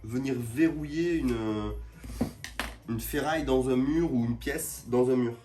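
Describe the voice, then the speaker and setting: A man with an average-pitched voice streams live from a building job, talking to his viewers in loose, slangy, informal speech. He has a cold and is worn out. Faint music plays behind him. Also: a little slow, mellow. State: has a cold, tired, back pain. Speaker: livestreamer showing building work.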